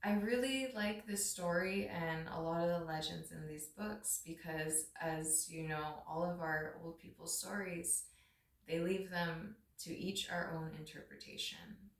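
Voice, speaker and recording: A woman's voice: fairly low pitch, fairly even intonation, measured pace. A woman giving a dramatic storybook reading aloud, recorded in an echoey room at some distance from the microphone. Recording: roomy echo, distant microphone.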